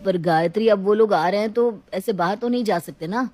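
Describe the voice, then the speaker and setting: A woman's voice: mid-range voice, a little fast, mellow, faint music behind, lightly acted. A woman voicing a mild married man torn between relatives, speaking in a placating, hesitant way.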